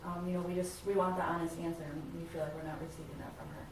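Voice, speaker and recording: A woman playing a concerned, caring mother in a family therapy role-play, speaking earnestly and haltingly. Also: on the low side, level tone, natural voice. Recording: echoey room, distant mic, faint noise.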